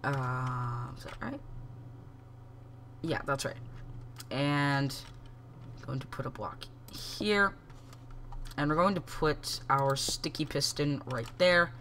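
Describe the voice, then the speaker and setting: A man's voice: medium pitch, slow pace, pauses often, pushed and bright, slight background noise. A young man with a congested, stuffy voice from a cold, hosting a casual game tutorial, rambling and correcting himself with many ums.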